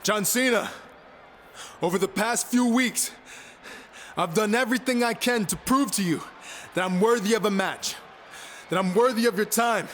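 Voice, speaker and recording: A young male pro wrestler in a video game cutscene. His voice sits high as he delivers an earnest, defiant promo to the arena, with some ambient noise around him. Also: moderate pace, long pauses, fairly bright tone. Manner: addresses a crowd in announcement style.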